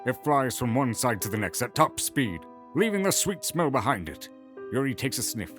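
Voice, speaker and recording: A man's voice: mid-range voice, sing-song, moderate pace, fairly bright tone, faint music behind. A man narrating a scripted fantasy audio drama in the present tense.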